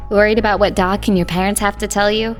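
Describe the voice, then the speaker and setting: A woman's voice: mid-range voice, little pitch movement, fast, natural voice. A woman playing a loyal, concerned teenage girl, talking casually and supportively as a best friend in an audio drama. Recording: music under the voice. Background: music.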